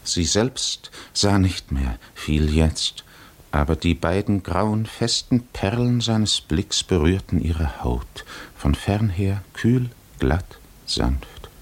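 A man narrating a literary 1950s radio drama in measured, deliberate phrases with pauses. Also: sits low, animated.

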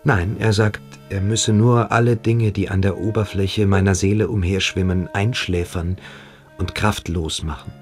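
An actor playing a submissive, evasive boarding-school pupil in a German radio play, hesitant and coquettish. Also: male voice, sits low, fairly even intonation, normal speed, darkish tone, soft background music, natural voice. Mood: hesitant, confiding.

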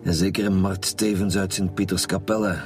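An actor with a Flemish accent playing a calm, shrewd, dryly needling chief police inspector in a radio drama. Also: male voice, low voice, a little fast, soft background music, natural voice. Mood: smugly reporting. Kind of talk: radio drama acting in Dutch.

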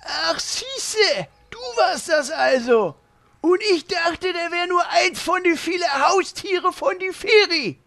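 An extremely high, bright cartoonish adventurer, blunt and scatterbrained, drawing words out slowly. The lines swing up and down in a comic fantasy radio play. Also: male voice, expressive, gravelly, full character voice. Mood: casual. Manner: exclamatory, casual, blunt. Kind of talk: comedic fantasy audio drama parody.

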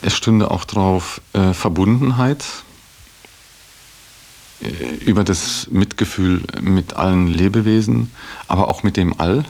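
A man giving a reflective interview answer, deliberate and pausing between key words. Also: low-pitched, creaky, a little mellow, slight background noise.

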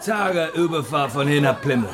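Male actor as hearty sailor, raised pitch, very lively intonation, loud, radio drama, busy crowd behind. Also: normal speed, natural voice. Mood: hearty, businesslike. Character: brisk English ship's boatswain in a tavern.

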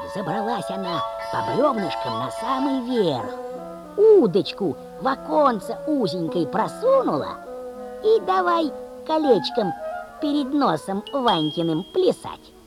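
A woman narrating a folk tale as an animated storyteller in an audio play. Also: on the high side, measured pace, over music, exaggerated voice.

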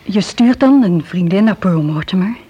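An actress playing an anxious, addicted woman making a halting confession in a Dutch radio drama. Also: medium pitch, animated, mellow, husky. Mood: subdued, explaining. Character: anxious drug-addicted young woman confessing a criminal scheme. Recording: muffled, lo-fi old radio play recording.

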